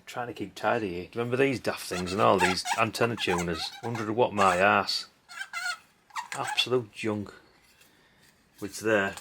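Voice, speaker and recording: Low, gravelly man. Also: normal speed, pauses often. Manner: dry, blunt, mildly sweary, tag questions. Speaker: hobbyist video host. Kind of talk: casual rambling commentary while sorting old electronics. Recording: clinking parts and background noise. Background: clicks and clatter of handled parts.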